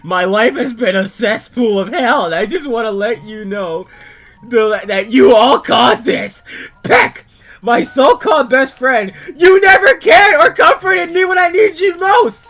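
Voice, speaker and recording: A man's voice: very high voice, animated, sharp tone, faint music behind, voice pushed up, full character voice. A man voicing a despairing cartoon ferret who bitterly screams and sobs at his friends, dragging out his words. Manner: screaming, sobbing, drawn-out words. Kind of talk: melodramatic reading of a cartoon suicide note.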